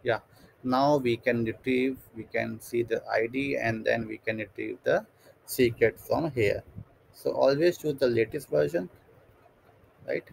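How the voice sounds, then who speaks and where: A man giving a screen-recorded software tutorial, thinking aloud step by step with many fillers and restarts. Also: mid-range voice, a little slow, creaky, slight background noise, poor audio. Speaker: tutorial instructor.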